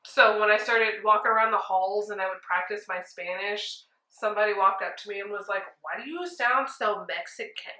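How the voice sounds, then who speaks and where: A woman's voice: mid-range voice, moderate pace, few pauses. A young woman in her twenties doing a friend's makeup while chatting casually and telling jokey stories at home. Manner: chatty, jokey, animated storytelling. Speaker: makeup artist host. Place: small indoor room at home. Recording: echoey room.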